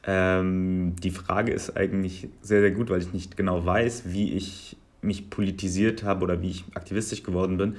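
A man in his twenties from Germany, answering interview questions in a measured, articulate way with long listing sentences. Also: sits low, little pitch movement. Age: 20s. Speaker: guest.

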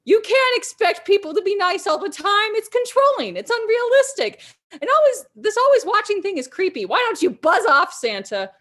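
Defiant young rebel, high and ranting, read by a woman. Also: sing-song, normal speed, fairly bright tone, full character voice. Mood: furious.

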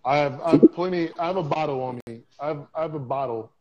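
A man's voice: on the high side, quick pace, a little mellow. Dull-sounding man rambling from his basement. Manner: loose, profane, rambling. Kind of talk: casual chat. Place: home basement.

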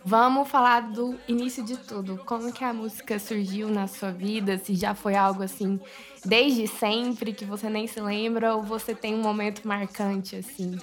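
A woman's voice: medium pitch, steady tone, normal speed. A woman with a Goiás accent hosting a podcast, chatting casually and upbeat, often laughing and chiming in. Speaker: podcast host. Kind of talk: casual interview chat.

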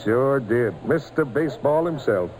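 A man's voice: medium pitch, animated, unhurried, a little mellow, natural voice. A man playing a friendly, folksy baseball fan telling tales to a boy in a vintage radio drama. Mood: friendly, amused. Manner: folksy, chuckling, paternal. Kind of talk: 1940s radio acting, storytelling. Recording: old noisy radio broadcast recording.

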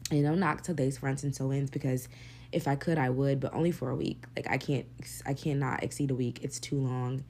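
Solo podcast host, young woman, very low gravelly voice, mellow tone, faint hum under it. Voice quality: deep voice, gravelly. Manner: candid, chatty, swears freely. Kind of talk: casual solo podcast monologue.

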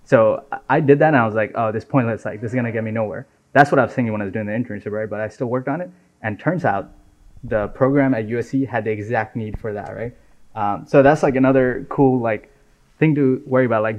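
A man in his twenties from Nepal, chatting casually as an interview guest. He talks in a relaxed, easy way, often dropping "right?" and "man" into his sentences. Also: medium pitch, quick pace. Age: 20s. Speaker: software engineer guest. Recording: dull lo-fi audio.

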